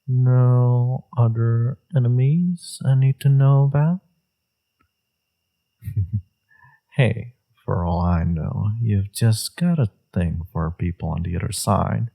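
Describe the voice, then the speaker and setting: A man playing a flirtatious, teasing villain in an intimate romance audio roleplay, murmuring coyly in a low, breathy voice. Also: slow pace. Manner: teasing, coy, murmuring with soft chuckles. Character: flirtatious, teasing male villain, secretly smitten enemy.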